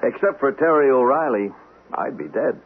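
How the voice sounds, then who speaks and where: An actor playing a calm but uneasy husband and loyal army friend in a tinny old-time radio drama. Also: male voice, medium pitch, normal speed, lightly acted.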